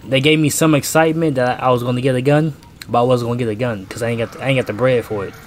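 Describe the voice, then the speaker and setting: A young American man giving casual let's-play commentary, slangy and expressive, often repeating himself. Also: medium pitch, racing, slight background noise. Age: young adult. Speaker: gaming YouTuber. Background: game audio and music.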